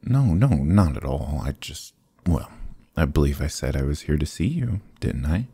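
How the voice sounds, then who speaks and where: Male actor as sly fantasy rogue for ASMR roleplay: extremely low, gravelly voice, glib courtly flattery, evasive pauses. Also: dry recording, full character voice. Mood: charming, defensive. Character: sly, charming adventurer thief, smooth-talking and flattering. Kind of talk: fantasy ASMR roleplay acting, close and soft.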